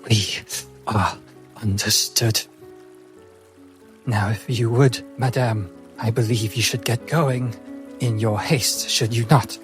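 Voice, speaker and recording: A man's voice: medium pitch, steady tone, takes it slowly, pauses often, faint music behind, pitched below the performer's own voice, natural voice. A man playing a proud beastkin woman who speaks in a formal, curt way. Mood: coldly polite. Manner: formal, deferential on the surface, curt.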